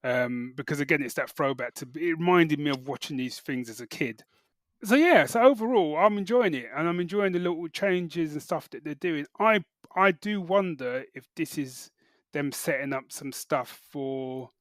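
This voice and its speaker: Man, higher-pitched, chatting in dry sound. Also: animated, normal speed, no echo. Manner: colloquial, opinionated, peppers talk with "you know" and "like". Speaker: podcast co-host. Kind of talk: casual chat review.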